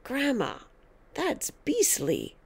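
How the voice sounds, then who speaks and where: Woman as young storybook boy, polite, plaintive, protesting, high lively voice. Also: drawn-out words, gravelly, full character voice. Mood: dismayed, protesting. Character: small eight-year-old boy, polite, dismayed by his grandmother.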